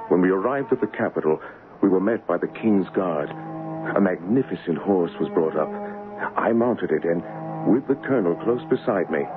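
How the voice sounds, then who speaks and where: Deep-voiced, earnest English gentleman, vintage radio. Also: animated. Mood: reflective, excited. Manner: measured, earnest. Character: earnest, honourable English gentleman, royal look-alike and storyteller. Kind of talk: old-time radio drama acting and first-person narration. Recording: muffled vintage radio broadcast with music.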